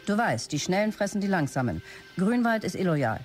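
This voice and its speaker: A woman's voice: mid-range voice, big pitch swings, fairly quick, soft background music, lightly acted. A woman playing a demanding chief operating officer in a radio play, clipped, businesslike and commanding. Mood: urgent. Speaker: actor in an audio drama. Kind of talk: radio play dialogue, dictated office messages.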